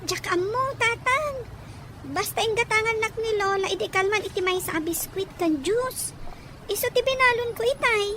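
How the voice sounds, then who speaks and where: A small, meek schoolgirl with an extremely high, bright, whiny voice. She pleads plaintively, in radio drama style. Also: normal speed, slight background noise. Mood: sweet, plaintive. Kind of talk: Ilocano radio drama acting.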